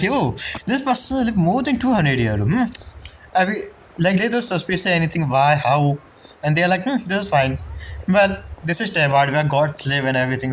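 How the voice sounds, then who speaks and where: Low-voiced woman doing animated game commentary. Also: a little hiss or hum. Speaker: game streamer. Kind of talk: casual gameplay commentary. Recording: game music behind voice.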